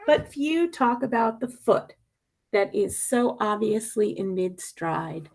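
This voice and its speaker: Animated woman reading aloud, creaky edge. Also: mid-range voice, rough recording. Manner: deliberate, dramatic emphasis with pointed questions and pauses. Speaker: media specialist reading a picture book aloud. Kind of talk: expressive picture-book read-aloud.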